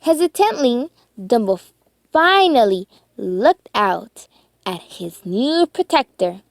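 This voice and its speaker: A woman reading a children's storybook aloud with expressive, deliberate phrasing and dramatic emphasis. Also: fairly high pitch, creaky, no echo, studio quality.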